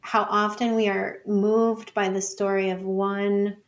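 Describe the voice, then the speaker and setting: A woman's voice: mid-range voice, little pitch movement, unhurried. Thoughtful woman explaining ideas informally. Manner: thoughtful, informal, hedging with frequent "like" and "sort of". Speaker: podcast guest, author and academic. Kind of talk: conversational interview explanation.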